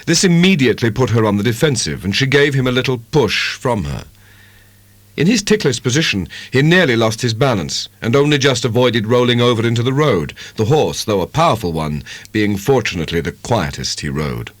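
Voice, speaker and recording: Male narrator, measured literary storytelling, very lively intonation, close dry sound. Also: medium pitch, no echo. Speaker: audiobook narrator. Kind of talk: dramatic scripted narration of a classic novel.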